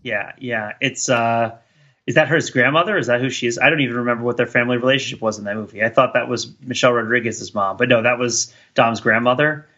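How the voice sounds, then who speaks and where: A man's voice: medium pitch, quick pace, pushed and bright, lo-fi sound. A man co-hosting a podcast, talking through pop-culture ideas in a casual, analytical way, discursive and hedging as he thinks aloud. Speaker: podcast co-host.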